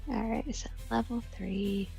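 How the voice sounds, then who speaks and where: A woman's voice: on the high side, fairly even intonation, unhurried, gravelly, over music, rough recording. A woman chatting casually as a player in a tabletop role-playing game.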